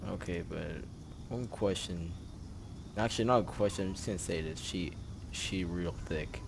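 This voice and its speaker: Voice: low voice, normal speed, gravelly, noisy background. A game streamer giving casual live commentary, rambling and hesitant, often trailing off. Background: game music.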